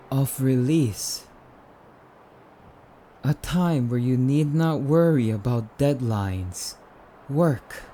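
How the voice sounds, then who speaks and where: A man playing a suave, courtly immortal vampire in an ASMR roleplay, speaking in formal, theatrical, rambling musings with drawn-out phrases. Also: mid-range voice, dark tone, slight background noise. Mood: courtly, rhapsodic.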